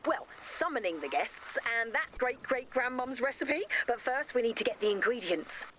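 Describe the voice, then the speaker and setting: A woman's voice: fairly high pitch, animated, moderate pace, slight background noise. A woman playing a spirited, bossy, romantic young heroine in a video game, with an emphatic, upbeat delivery. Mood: earnest, urging. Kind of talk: video game character voice acting. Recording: loud music behind the voice.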